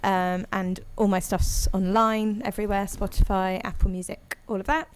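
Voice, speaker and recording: A woman's voice: medium pitch, flat delivery, moderate pace, clean tone. A singer-songwriter, a woman, chatting casually and rambling with many ums as a guest on a live audio room call. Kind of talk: casual chat after a live set.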